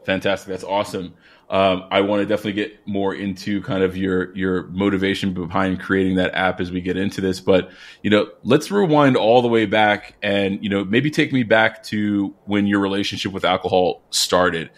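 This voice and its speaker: A man's voice: deep voice, fairly even intonation, normal speed. A man hosting a podcast interview, upbeat and encouraging, with many "you know"s and ums. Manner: upbeat, encouraging, filler-heavy. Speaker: podcast host.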